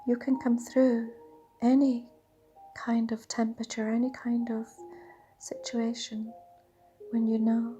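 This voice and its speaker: A woman leading a guided meditation in a dreamy, soothing, half-whispered voice with drawn-out pauses. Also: on the high side, completely flat delivery. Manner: breathy, half-whispered delivery. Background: soft sound bath music.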